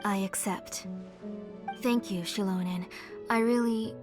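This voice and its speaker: A woman playing a gentle, dignified aunt in a video game, devoted and composed, speaking formally and softly. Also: mid-range voice, steady tone, takes it slowly, over music, lightly acted. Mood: relieved, grateful.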